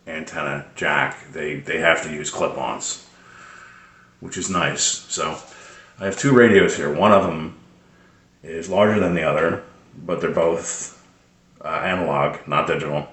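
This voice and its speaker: A man giving a casual, unscripted how-to explanation in a hesitant, rambling way with many pauses. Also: low voice, a bit bright. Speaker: presenter of a radio tips video. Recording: roomy, echoing sound.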